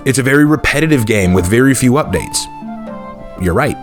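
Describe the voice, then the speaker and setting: A young man, talking casually and unscripted to his channel's viewers, relaxed and thinking aloud with fillers. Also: mid-range voice, a little fast, over music. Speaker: YouTube channel host.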